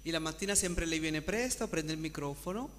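Higher-pitched man testifying in an echoey hall. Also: fairly quick, a bit bright. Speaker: congregation member giving testimony. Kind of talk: spoken testimony and prayer responses. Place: church hall. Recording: echoey hall with background hum.

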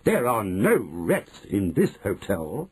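Man voicing pompous hotel manager, slow, stiff, husky. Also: on the low side, wildly swooping pitch, a little mellow, heavily acted. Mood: defensive.

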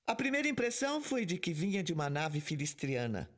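An actor playing a stern, authoritative squad commander in an audio drama, speaking firmly. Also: male voice, a little high, big pitch swings, fairly quick. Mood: grave. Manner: firm, authoritative.

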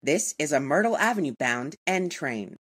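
A woman reading scripted subway announcements in a formal, measured way, in segmented phrases. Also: sits low, lively intonation, breathy edge.

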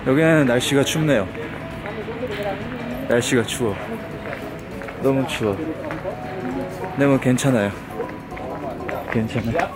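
A man's voice: expressive, slow, long pauses, music in the background. A young Korean man with a cold and sore throat, vlogging casually to a handheld camera while traveling by van and on foot. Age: young adult. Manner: sleepy, low-key, talking to the camera. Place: in a van and at outdoor sites. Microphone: handheld camera at arm's length.

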